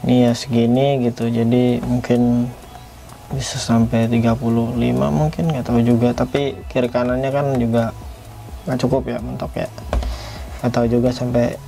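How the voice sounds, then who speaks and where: A man's voice: medium pitch, flat delivery, normal speed, gravelly, dark tone, faint music behind. A man giving a casual, unscripted product review, rambling a little and repeating himself as host. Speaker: toy reviewer, video host.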